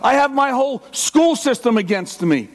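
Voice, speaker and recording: A man's voice: extremely high pitch, lively intonation, normal speed. A middle-aged man preaching a sermon with passion, acting out the inner voice of a struggling believer. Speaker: pastor.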